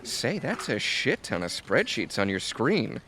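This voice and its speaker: Obliging male office assistant, eager, mid-range, expressive, faint noise, audio comedy. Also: mid-range voice, measured pace. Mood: deferential. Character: deferential, attentive male office assistant, slyly nosy. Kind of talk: audio comedy drama acting.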